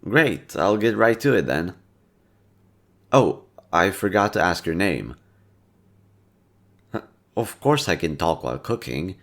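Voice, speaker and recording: A young man with a gravelly, fry-heavy voice, relaxed and gently teasing. He speaks softly to a listener, as in an intimate audio roleplay. His pitch sits very low throughout. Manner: laid-back, teasing, soft-spoken. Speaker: voice actor.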